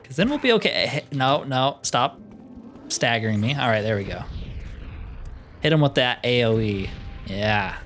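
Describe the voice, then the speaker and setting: A man's voice: mid-range voice, expressive, normal speed, long pauses, a bit bright, soft background music. A man giving casual, wry commentary as he plays through a video game, often with dry humor. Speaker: gaming video host.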